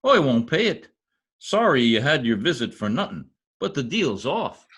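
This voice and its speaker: A man's voice: mid-range voice, very animated, moderate pace, fairly bright tone, slightly rough. A man playing a folksy, cocky, stubborn husband in an old-time radio comedy.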